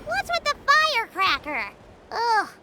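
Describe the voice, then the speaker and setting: A tiny fairy sidekick, very high squeaky voice, bouncy swooping pitch, game audio with faint hiss. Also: female voice, wildly swooping pitch, a little slow, heavily acted. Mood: impatient. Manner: squeaky, impatient, exclaiming. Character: small floating fairy companion, excitable and pushy. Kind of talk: game dialogue.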